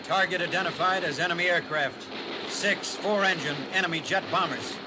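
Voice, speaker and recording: A man's voice: high voice, normal speed, lightly acted. An actor playing an alert, businesslike military airman reporting in clipped, formal phrases in a 1950s radio drama. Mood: urgent, businesslike. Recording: very noisy vintage radio, vehicle drone.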